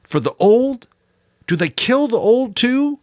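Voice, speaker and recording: A man's voice: extremely high pitch, big pitch swings, slow, no room sound, clean studio sound, pitched above the performer's own voice, heavily acted. A man voicing a distraught, bitterly defiant adolescent boy in a dramatic audiobook reading. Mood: anguished accusing. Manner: tearful, sarcastic, spitting out questions.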